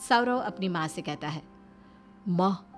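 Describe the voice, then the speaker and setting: A woman's voice: medium pitch, big pitch swings, normal speed, soft background music. A woman narrator reading a scripted family story.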